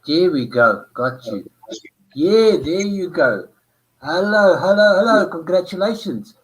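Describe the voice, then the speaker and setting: A man's voice: sits high, big pitch swings, measured pace, low-quality recording. A man from South Africa hosting an online stream, chatting casually and thinking aloud with many fillers over a computer microphone on a video call. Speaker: livestream host. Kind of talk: casual chat while troubleshooting.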